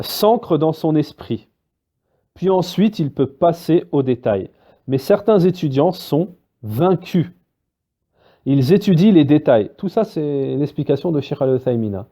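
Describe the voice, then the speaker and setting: A man teaching a spoken religious lesson, lively and full of fillers, acting out little dialogues between students. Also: a little high. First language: Arabic-Islamic terms mixed into French. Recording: dull lo-fi recording.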